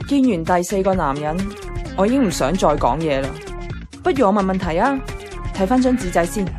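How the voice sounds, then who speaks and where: A woman's voice: medium pitch, sing-song, a little fast, natural voice. Casting: actress as dramatic, lovelorn young office woman, Hong Kong Cantonese, wry confessional radio-play narrator, music bed. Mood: wry, hopeful. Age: young adult.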